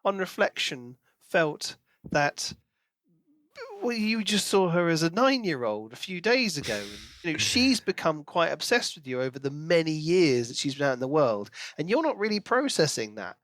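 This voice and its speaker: A man with a fairly high voice and very lively pitch movement co-hosts a book discussion. His delivery is casual and questioning, as in conversation. The recording is close and dry. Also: normal speed, dry recording. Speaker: podcast co-host.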